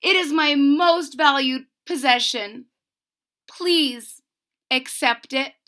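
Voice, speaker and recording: A woman's voice: sits high, slow, bright, forceful tone, voice pushed up, heavily acted. A woman voicing a lonely orphan girl who pleads earnestly in a children's storybook. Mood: earnest pleading. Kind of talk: children's storybook read aloud.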